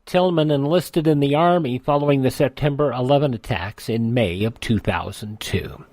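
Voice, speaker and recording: An American man reads scripted narration in the manner of a news segment. His voice sits at a medium pitch, and the sound is dry and close with no room on it. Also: normal speed, darkish tone, no room sound. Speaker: radio history segment narrator.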